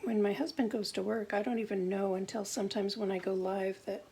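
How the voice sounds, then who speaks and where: Woman with a gravelly, husky voice. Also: medium pitch, steady tone, brisk, mellow. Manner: chatty, thinking aloud while working. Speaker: card-making demonstrator. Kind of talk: live crafting demonstration. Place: home craft desk.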